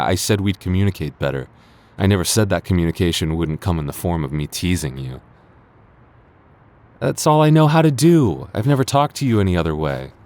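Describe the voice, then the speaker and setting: A man's voice: sits low, a little mellow. A man playing a teasing, easily embarrassed childhood friend confessing love in a boyfriend roleplay, stammering and hesitant. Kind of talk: boyfriend roleplay audio drama.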